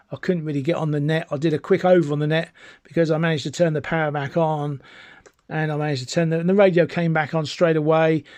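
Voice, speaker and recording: A man's voice: fairly high pitch, darkish tone, dry recording. A British man giving an informal, chatty gadget demonstration as an enthusiastic, rambling hobbyist presenter. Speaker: amateur radio enthusiast presenting a video. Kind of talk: informal product demonstration to camera.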